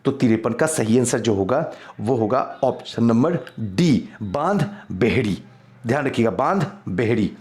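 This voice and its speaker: A man's voice: medium pitch, animated, measured pace, darkish tone. A man teaching an exam quiz lesson, reading out questions and repeating key answers for emphasis. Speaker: history teacher for civil service exam coaching.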